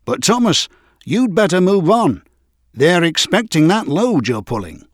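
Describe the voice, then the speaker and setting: A man's voice: sits high, very animated, a little slow, voice pushed up. A man voicing a helpful railway signalman who explains and cautions, in a children's storybook. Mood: helpful, urging.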